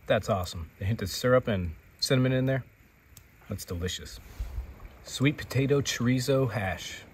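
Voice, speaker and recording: A man's voice: medium pitch, normal speed, breathy edge. An American man in his thirties talking casually to camera as an outdoor vlogger. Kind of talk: casual vlog talk to camera. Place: outdoors at a forest campsite, sometimes inside a tent. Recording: distant mic, noticeable outdoor ambient noise.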